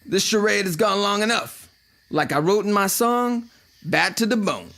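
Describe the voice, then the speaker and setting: An actor playing a cocky, theatrical vampire rock star, telling boastful and flippant stories in a podcast drama. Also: male voice, high-pitched, lively intonation, normal speed, creaky, sharp tone, heavily acted. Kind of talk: fiction podcast acting, mock interview. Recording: music playing behind the voice.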